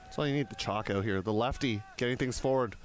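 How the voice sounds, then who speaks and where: A man's voice: medium pitch, fast talker. Man in his thirties calling a game. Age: 30s. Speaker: sports commentator. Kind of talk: live play-by-play commentary with casual banter.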